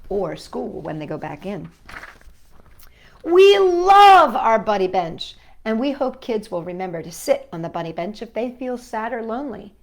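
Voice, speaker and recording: A woman hosting a children's storytime, reading a picture book aloud and chatting gently with young viewers, asking them questions. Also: mid-range voice, very animated, measured pace.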